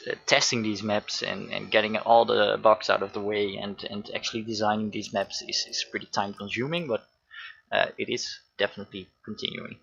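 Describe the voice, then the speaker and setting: A man's voice: lowish voice, level tone, brisk, vocal fry, a bit bright, husky, no room sound, lo-fi sound. A man with a Dutch accent, a game developer at home answering stream chat questions while he plays. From Netherlands. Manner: answers chat questions between gameplay remarks. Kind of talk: casual stream Q&A while playing.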